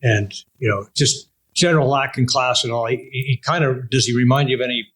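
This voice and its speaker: An older Canadian man with a husky, slightly rough voice at an average male pitch. He chats as an interview host, halting and circling back as he makes his point. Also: steady tone, a bit bright, low-quality recording. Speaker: interview host, veteran broadcaster. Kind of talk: casual interview chat.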